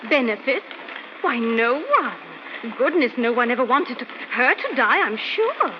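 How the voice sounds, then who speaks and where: Anxious young female companion for radio mystery: very high, swooping, earnest, on a noisy old recording. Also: sing-song, measured pace, highs cut off. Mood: earnest, polite.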